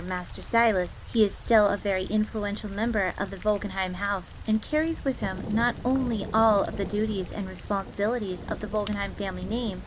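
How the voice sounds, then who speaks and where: A woman's voice: mid-range voice, lightly acted, rough recording. A woman playing a loyal, easily flustered tsundere fox maid in an audio roleplay, speaking formally and stiffly and referring to herself in the third person. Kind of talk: scripted audio roleplay acting. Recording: steady rain noise in background. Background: rain ambience.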